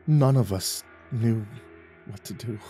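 A man narrating a first-person horror story in a tense, halting way with stammered restarts. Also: lowish voice, mellow. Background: faint music.

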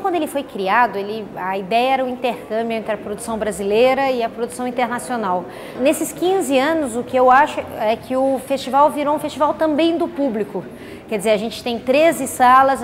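A woman speaking in a documentary interview in a measured, reflective way about the festival she runs. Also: high voice. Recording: long reverb, clear background noise.

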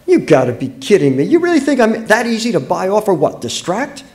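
Audiobook role: exasperated, bossy wife, read by a man, easily wounded, pitch leaping about scornfully. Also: wildly swooping pitch, brisk, vocal fry, darkish tone, full character voice. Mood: indignant disbelief. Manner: scornful rhetorical questions, emphatic. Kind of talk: acted audiobook dialogue.

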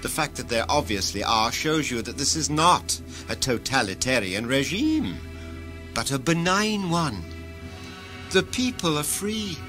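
Imperious titled ruler played by a man, animated delivery. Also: medium pitch, unhurried, faint music behind. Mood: proud, persuasive. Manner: formal, pompous, imperious. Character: self-assured titled male director of a future ruling cartel. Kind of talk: audio drama acting.

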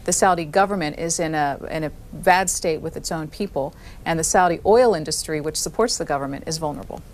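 A woman hosting a televised call-in interview, asking questions in a deliberate, clear way. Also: deep voice. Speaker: call-in show host.

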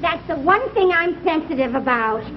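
A woman playing a blunt, touchy member of a sister act in a TV comedy, with deadpan delivery. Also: sits high, moderate pace, over a noisy crowd. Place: theater stage before a live audience. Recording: muffled 1950s TV recording, noisy audience.

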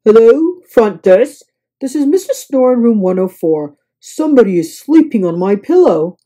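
A woman putting on a loud, grumpy sleepy hotel guest. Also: fairly high pitch, big pitch swings, moderate pace, husky, poor audio. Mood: annoyed, demanding. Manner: grumbling, fuming, emphatic.

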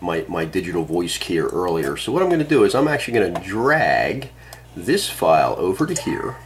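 A man's voice: deep voice, very animated, normal speed, slight background noise. A man talking casually through a demonstration, explaining plainly step by step. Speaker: tutorial presenter, amateur radio operator. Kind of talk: casual spoken how-to demonstration. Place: indoors at a radio and computer desk.

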